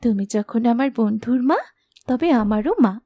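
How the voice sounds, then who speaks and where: A woman playing a gentle, affectionate young princess in a fairy tale cartoon. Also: a little high, lively intonation, normal speed, no room sound. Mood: grateful, happy.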